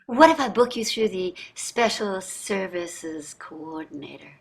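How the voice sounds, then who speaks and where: A woman's voice: medium pitch, expressive, a little slow, gravelly, husky, exaggerated voice. An actress in a staged play reading, playing a wealthy, entitled society wife and mother with an affected, gushing manner. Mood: indignant, flirtatious. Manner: affected, gushing, effusive endearments.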